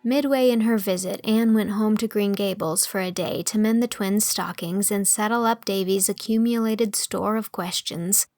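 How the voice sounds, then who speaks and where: A woman's voice: medium pitch, normal speed, few pauses, a little mellow, no room sound, studio quality. A woman narrates a storybook in a mid-range voice, with music under her reading. The delivery is scripted narration, carried in full literary sentences. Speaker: audiobook narrator.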